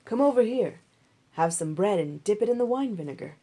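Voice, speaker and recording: A woman voicing a kindly, protective male landowner in a Bible story, giving measured, reassuring instructions. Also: medium pitch, animated, warm tone, lightly acted. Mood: generous, commanding.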